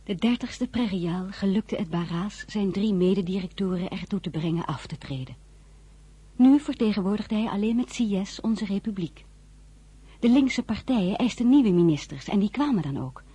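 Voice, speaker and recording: An actress playing a tender, wry young woman narrating her diary in a radio drama, soft, husky and breathy. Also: mid-range voice, lively intonation, normal speed. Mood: reflective.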